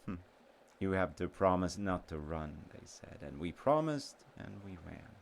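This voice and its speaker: A man playing a sweet, slightly slow, sentimental childhood friend in an intimate audio roleplay, speaking gently and haltingly, with trailing pauses. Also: deep voice, very animated, creaky, a little hiss or hum, full character voice. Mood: fond reminiscing.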